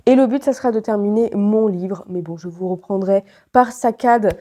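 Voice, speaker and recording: A woman's voice: mid-range voice, moderate pace, warm tone. A woman with a head cold and a stuffy, nasal voice, chatting casually to camera in a vlog, with many fillers and restarts. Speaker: vlogger.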